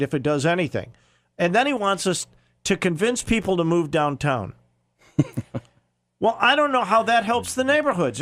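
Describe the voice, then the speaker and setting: A man's voice: sits high, a bit bright, dry recording. An American man hosting a radio talk show, questioning and arguing emphatically in an opinionated live interview.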